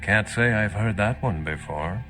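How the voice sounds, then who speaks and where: A learned, centuries-old male vampire from a video game. His voice is fairly low, his delivery lofty and theatrical, with music in the background. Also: animated, unhurried, pushed and bright. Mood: wry. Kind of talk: video game character acting.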